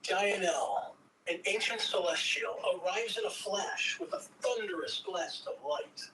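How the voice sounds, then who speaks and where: Male narrator and host, high bright voice, reading stage directions, recorded off-mic. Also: unhurried. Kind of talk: scripted stage-direction narration with casual hosting. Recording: distant mic, faint background noise.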